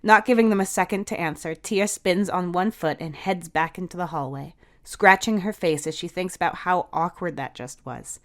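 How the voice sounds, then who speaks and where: Lowish-voiced woman story narrator. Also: normal speed, hardly any pauses. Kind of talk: scripted audio story narration.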